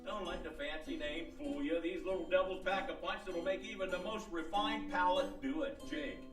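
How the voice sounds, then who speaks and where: A man acts out a high-voiced country huckster for an old-time radio commercial, full of cantankerous rural slang. The sound is roomy and a little distant, with loud string music behind the pitch. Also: moderate pace, natural voice. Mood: folksy, boastful. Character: folksy country huckster pitching snack crackers. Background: string instrument music.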